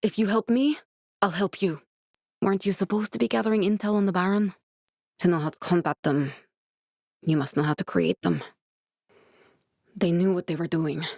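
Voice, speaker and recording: A woman's voice: on the low side, steady tone, normal speed, long pauses, a little mellow, dry recording, clean studio sound. A young woman actor playing a determined, guarded scientist in a video game, speaking in an earnest, serious way. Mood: serious, explanatory. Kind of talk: dramatic video game dialogue.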